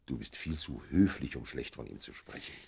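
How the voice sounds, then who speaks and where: Very deep, gravelly, discreet gentleman. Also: expressive, heavily acted. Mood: guarded, dry. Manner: formal, measured, reticent. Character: discreet, dryly evasive gentleman, old friend and patient. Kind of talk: German radio play acting.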